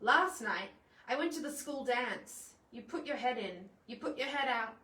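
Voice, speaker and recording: A woman reading a children's picture book aloud in a playful, expressive way, adding chatty asides for young listeners. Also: fairly high pitch, normal speed. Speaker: library storytime presenter. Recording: echoey room, distant microphone.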